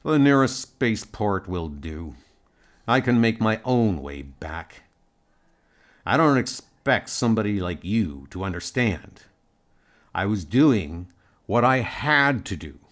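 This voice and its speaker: Defiant male colony leader played by a man, arrogant and combative, animated intonation. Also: lowish voice, slow, exaggerated voice. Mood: bitter. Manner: combative, scornful.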